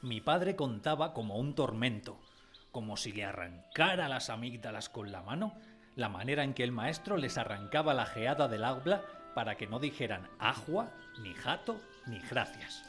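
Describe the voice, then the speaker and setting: A man plays a wistful storyteller looking back on a village boyhood in a radio drama. His voice is mid-pitched, and quiet music runs underneath the narration. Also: animated, normal speed, lightly acted. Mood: wistful, storytelling.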